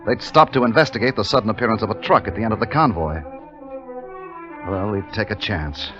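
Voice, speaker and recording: A man acting a weary, tense army captain in a vintage radio thriller. His low voice carries wartime narration and orders, on a muffled old recording with music behind. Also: fairly quick, lightly acted. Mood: tense, grim narration. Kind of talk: 1950s radio drama acting and first-person narration.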